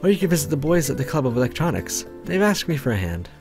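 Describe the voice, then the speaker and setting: A Canadian man voicing a bossy adult woman who runs a summer camp in a story game. She instructs and lectures in a somewhat raised, lively voice. Faint music sits underneath. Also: on the high side, fast talker, vocal fry, pitched above the performer's own voice. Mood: instructing.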